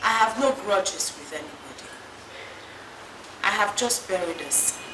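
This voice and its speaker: An actress with a Nigerian accent playing an anguished, defiant bereaved mother in a film melodrama, wailing and pleading in drawn-out cries. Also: medium pitch, expressive, reverberant, distant mic, music in the background, close to an everyday voice. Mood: weary grief. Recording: noisy film location sound with clicks.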